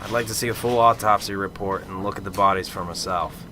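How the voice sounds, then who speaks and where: A man acting in a radio drama as a cynical, sarcastic, upper middle-aged police detective from Chicago, gruff and curt. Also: sits low, fairly even intonation, fairly quick, bright, forceful tone, a little hiss or hum. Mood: blunt, businesslike.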